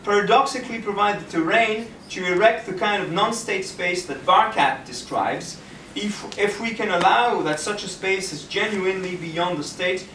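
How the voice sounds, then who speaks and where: High-pitched man reading a paper, echoey room. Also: bright, forceful tone. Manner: halting, frequently stumbles and self-corrects. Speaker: academic reading a colleague's paper at a conference. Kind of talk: scripted academic paper read aloud. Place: indoor conference room. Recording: echoey room, distant mic, faint noise.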